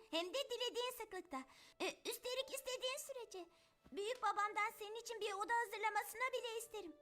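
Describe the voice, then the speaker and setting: A woman's voice: very high-pitched, pushed and bright. A woman voicing an earnest, affectionate little mountain girl in a dubbed children's cartoon.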